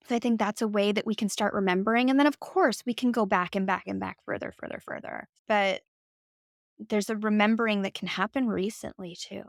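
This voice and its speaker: A woman's voice: medium pitch, fairly even intonation, fairly quick, gravelly, dry recording, clean studio sound. A woman speaking as a podcast guest, talking in a reflective way with lots of "like" and "right?". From United States. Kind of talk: conversational interview.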